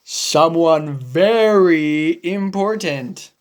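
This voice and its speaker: A woman voicing a big, gentle, worried elephant in a storybook read-aloud, speaking low and carefully. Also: expressive, extremely slow, full character voice. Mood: cautious.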